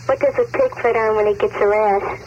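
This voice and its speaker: A female radio caller speaks at an ordinary middle pitch, reciting little rhymes and riddles. She comes through a dull telephone line with faint noise on an old broadcast. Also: fairly even intonation, a little fast, a little mellow. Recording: muffled noisy phone line on radio.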